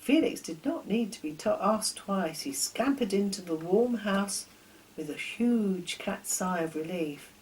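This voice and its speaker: A woman's voice: mid-range voice, expressive, unhurried, a little mellow, slight background noise, rough recording. An older woman reading her own children's story as a narrator, expressively, posing questions to the listener.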